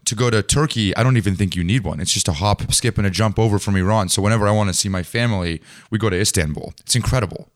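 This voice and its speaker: Low-voiced man, casual interviewer. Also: fast, few pauses. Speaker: podcast interviewer.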